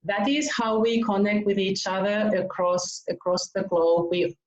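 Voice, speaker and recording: A woman from Finland hosting an online interview, gushing with praise and laughing softly as she talks. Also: medium pitch, steady tone, measured pace. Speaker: interview host. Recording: roomy, dull video call audio.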